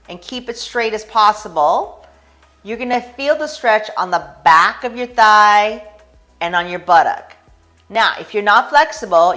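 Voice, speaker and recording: An American woman chiropractor talking through a stretching routine, calmly guiding breaths and holds. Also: medium pitch. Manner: calm, guiding with breathing cues. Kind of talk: instructional stretching demonstration.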